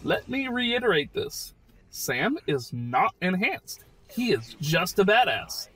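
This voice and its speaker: A man giving casual, jokey voice-over commentary on a video game, excitable and a bit profane. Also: high-pitched, slow pace, rough recording. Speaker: gaming video host.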